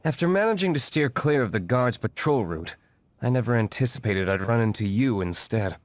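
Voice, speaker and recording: An actor playing a brooding, wealthy vigilante in a video game dub, curt and formal with a dry, disdainful edge. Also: male voice, mid-range voice, animated, a little fast, natural voice. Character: brooding wealthy male vigilante, aloof and stern.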